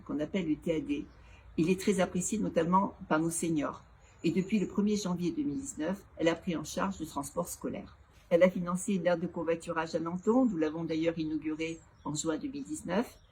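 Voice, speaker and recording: A woman, a local elected official, giving prepared, formal interview answers in a structured, didactic and measured way. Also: on the low side, darkish tone. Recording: clearly noisy background ambience.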